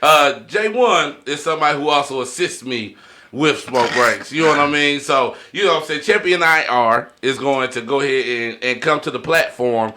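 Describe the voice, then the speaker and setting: A man's voice: medium pitch, moderate pace, sharp tone, slight background noise. An American man hosting a casual podcast discussion, dropping conversational tag phrases throughout. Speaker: podcast host.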